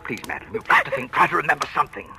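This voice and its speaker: A man playing an excitable, devoted young stage actor with an urgent, theatrical manner in a 1940s radio drama. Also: a little high, rapid-fire, vocal fry, full character voice. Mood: urgent, pleading. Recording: vintage radio drama with music behind.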